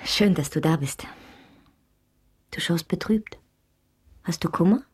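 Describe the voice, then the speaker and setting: An actress playing a cool, self-possessed female lover in a radio drama, husky and terse, with a teasing, matter-of-fact manner. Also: lowish voice, slow, exaggerated voice. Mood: soft, attentive.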